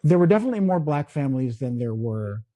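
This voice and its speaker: A man from the Philippines, his first language Tagalog, co-hosting a podcast in casual chat, talking slowly and halting with many restarts. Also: medium pitch, sing-song, mellow, dry recording, highs cut off. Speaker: podcast co-host.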